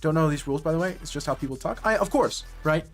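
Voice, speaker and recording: A man's voice: fairly high pitch, expressive, fast, soft background music. A man streaming live and chatting casually with viewers, rambling and correcting himself mid-sentence with nerdy enthusiasm. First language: English.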